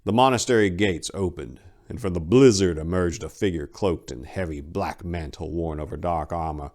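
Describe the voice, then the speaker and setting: Deep-voiced man, theatrical narrator. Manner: theatrical, deliberate. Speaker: channel host and story narrator. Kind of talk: dramatic scripted narration.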